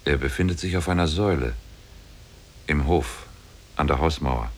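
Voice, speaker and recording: A man's voice: extremely low pitch, normal speed, darkish tone, a little hiss or hum. An actor playing a mighty, solemn demon sorcerer in love with his captive, in a 1950s German radio drama. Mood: boastful, confiding. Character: mighty demon sorcerer, lovestruck captor of a woman. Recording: old 1950s radio play on cassette.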